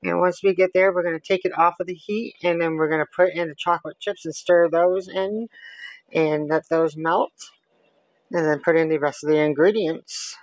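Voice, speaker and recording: Creaky-voiced woman explaining a recipe. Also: lowish voice, fairly even intonation, normal speed, dry recording, low-quality recording. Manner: chatty step-by-step explaining while stirring. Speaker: home cook. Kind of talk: casual cooking demonstration. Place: home kitchen. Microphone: camera on counter.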